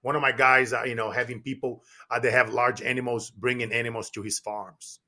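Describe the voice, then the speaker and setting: A man's voice: medium pitch, fairly quick. A middle-aged man from Brazil, a business coach, talking emphatically as a podcast guest, with many restarts. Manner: animated, repetitive restarts, emphatic. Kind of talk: casual podcast conversation.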